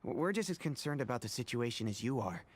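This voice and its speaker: A man voicing an easygoing veteran mercenary in a game, talking in a relaxed way. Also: mid-range voice, fast talker. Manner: laid-back, chuckling.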